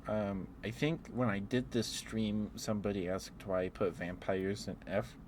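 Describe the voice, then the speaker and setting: Slow, low-voiced man rambling in ASMR style. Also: steady tone, background noise. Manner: playful, musing aloud with trailing thoughts. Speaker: ASMR livestreamer. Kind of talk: ASMR, casual rambling chat.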